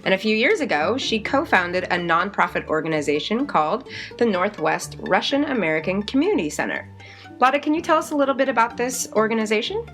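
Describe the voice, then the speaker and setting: A woman hosting a scripted podcast, with a bright, lively delivery and frequent laughs. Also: medium pitch, fairly quick. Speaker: podcast host. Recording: clear music bed underneath.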